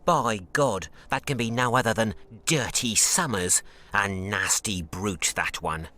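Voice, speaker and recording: Forceful, shady British barkeep. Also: male voice, fairly low pitch, measured pace. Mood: forthcoming. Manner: blunt, guarded. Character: gruff, wary shady British tavern keeper selling homemade liquor. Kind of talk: video game voice acting.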